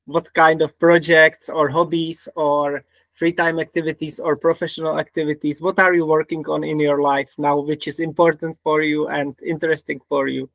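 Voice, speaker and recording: Czech-accented man hosting, slightly high voice. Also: little pitch movement, moderate pace, gravelly, fairly bright tone, dry recording. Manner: repeats himself, simple phrasing. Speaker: podcast host. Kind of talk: casual interview talk.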